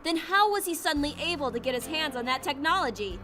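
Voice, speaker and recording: A blunt young female mech pilot speaks in a high voice, demanding answers in anime game style. Clear background music plays behind her. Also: animated, quick pace. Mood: urgent.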